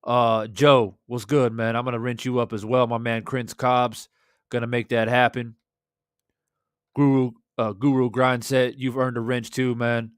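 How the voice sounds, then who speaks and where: Hoarse man hosting a livestream. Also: medium pitch, level tone, a little slow, no room sound, clean studio sound. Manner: laid-back, shouting out chat viewers, swears freely. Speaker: boxing livestream host. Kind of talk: casual livestream chat. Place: small home room, indoors. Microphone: studio desk mic with headphones.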